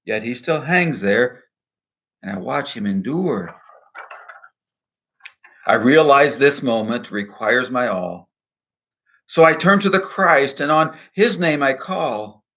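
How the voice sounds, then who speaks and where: A man speaking in a measured, earnest, deliberate way, in the style of a devotional poetry reading and reflection. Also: medium pitch, expressive, sharp tone, highs cut off. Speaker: minister and poet reading own poem.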